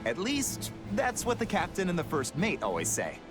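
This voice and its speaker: An actor playing a loyal, cheeky pirate crewman in a video game, chatty and expressive in an informal, colorful way. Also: sits high, normal speed. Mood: cheeky, musing. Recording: game music behind the voice.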